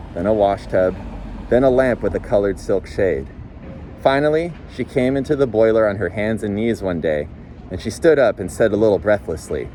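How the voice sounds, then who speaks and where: A man's voice: lowish voice, dark tone, poor audio. A man reading a novel aloud in audiobook style, as a volunteer narrator. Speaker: volunteer reader. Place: outdoors on a city street. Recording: faint outdoor street and traffic noise. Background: passing vehicles, faint street ambience.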